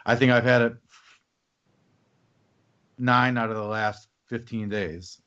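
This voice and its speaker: A man's voice: mid-range voice, steady tone, slow pace, a bit bright, clean tone. A man hosting a podcast, talking casually and rambling with fillers. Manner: rambling, with fillers and back-channel murmurs. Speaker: podcast host. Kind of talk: casual conversation. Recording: dull lo-fi podcast audio.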